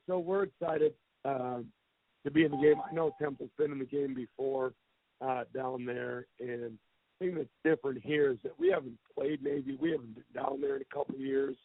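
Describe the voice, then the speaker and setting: A hoarse man in his forties from the American Midwest, a football head coach giving a plain-spoken opening statement on a press call. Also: medium pitch, no echo. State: hoarse from a cold. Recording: phone line.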